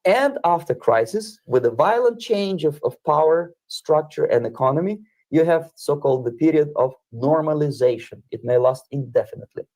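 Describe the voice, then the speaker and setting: A man giving a methodical, halting lecture in an old archival clip. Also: on the high side, lively intonation, a little mellow.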